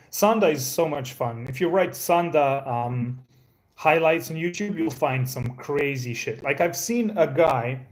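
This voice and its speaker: A Croatian man, a native Croatian speaker with an American-sounding English accent, chatting casually with viewers on his live stream in a rambling, laid-back way, with many fillers and restarts. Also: on the high side. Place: indoors at a home.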